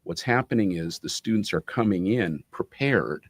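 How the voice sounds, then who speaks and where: Very deep-voiced professorial man. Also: animated, normal speed, husky, dry recording, clean studio sound. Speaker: interview guest, business school academic. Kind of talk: explanatory conversational interview answer.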